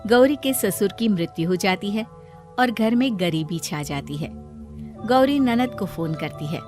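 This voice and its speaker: A woman's voice: mid-range voice, animated, a little slow, darkish tone, faint music behind. A woman narrating a scripted moral story as a storyteller.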